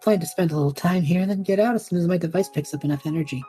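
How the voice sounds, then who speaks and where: A woman playing a cocky, cheeky young male adventurer who talks in a flippant, chatty, excitable way in a fantasy audio drama. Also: on the low side, rapid-fire, faint music behind, lightly acted.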